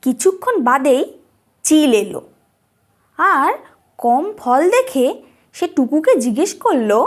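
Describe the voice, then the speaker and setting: A woman narrates a children's tale in a high voice. She takes it slowly, reading as a scripted storyteller for a cartoon. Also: sing-song.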